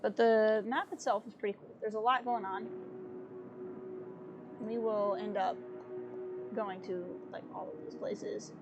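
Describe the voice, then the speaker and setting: A woman streaming a video game, chatty and a little sniffly, thinking out loud with fillers as she plays. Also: mid-range voice, steady tone, measured pace, pauses often, lo-fi sound, over music. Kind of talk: casual gameplay commentary. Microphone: desk mic with webcam.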